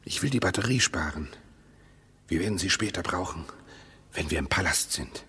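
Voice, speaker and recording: A husky, low-voiced husband in a radio drama, insistent and mistrustful. His delivery is bright and forceful, with lively swings of pitch. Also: moderate pace, full character voice. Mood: guarded, measured. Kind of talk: German radio play acting.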